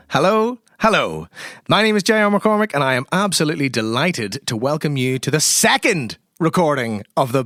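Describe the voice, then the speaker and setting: A man's voice: fairly high pitch, a bit bright, no echo, studio quality. A man hosting a comedy tabletop show, moving between showy introductions and friendly banter. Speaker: host of an improvised comedy horror tabletop show. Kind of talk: lively scripted introductions and banter.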